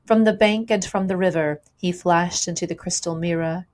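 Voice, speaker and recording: A woman reading poetry aloud in a dramatic recitation, with measured, rhythmic phrasing that falls away at the ends of lines. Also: lowish voice, fairly even intonation, darkish tone. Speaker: poetry reader.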